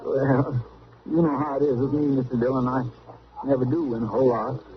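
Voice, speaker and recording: An actor playing an anxious, folksy Western deputy with a twangy American drawl, pleading and deferential in old-time radio style. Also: male voice, mid-range voice, steady tone, fairly quick, natural voice. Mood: sheepish. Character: anxious, folksy, easily flustered frontier deputy, elder brother. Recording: noisy vintage radio recording, narrow band.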